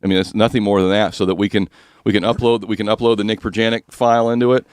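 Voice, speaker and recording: Husky-voiced man hosting finance radio. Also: fairly low pitch, a little mellow, no room sound, clean studio sound. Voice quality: husky, slightly rough voice. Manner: chatty, explains mortgage rules plainly with asides and jokes. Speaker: radio show host. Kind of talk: casual finance talk radio.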